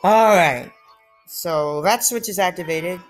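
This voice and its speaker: A woman giving casual, excitable commentary while playing a video game, joking and muttering asides. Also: fairly low pitch, slow, low-quality recording. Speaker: let's play gamer. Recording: faint game music behind.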